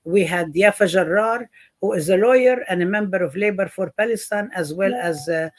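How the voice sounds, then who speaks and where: A woman professor co-moderating an online classroom roundtable, speaking haltingly with many fillers and restarts. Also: mid-range voice.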